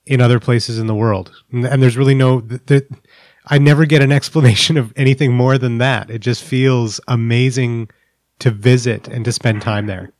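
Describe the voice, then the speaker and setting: Male host, mid-pitched, reflective and halting, dry close mic. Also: darkish tone, no echo. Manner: thoughtful, hesitant, with self-corrections. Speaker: host, interviewer. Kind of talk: casual conversational interview.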